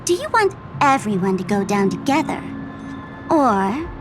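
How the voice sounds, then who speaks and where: A woman's voice: mid-range voice, sing-song, unhurried. An actress playing a teasing, gleeful female trickster in a video game, theatrical and mocking, with playful menace. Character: gleeful, teasing female trickster fool threatening to blow up a planet. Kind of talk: video game character acting. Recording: music behind the voice.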